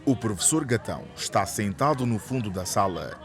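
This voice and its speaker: A man's voice: fairly low pitch, expressive, moderate pace, faint music behind, natural voice. A man narrating a radio drama in a scripted, scene-setting style. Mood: descriptive. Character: male narrator of a school radio soap.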